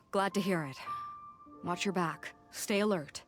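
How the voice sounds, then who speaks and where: A woman's voice: lowish voice, slow pace, over music. A woman playing a brash, easygoing fleet captain in a video game, talking bluntly and casually. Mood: serious. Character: brash, easygoing female fleet captain, tough and plain-spoken.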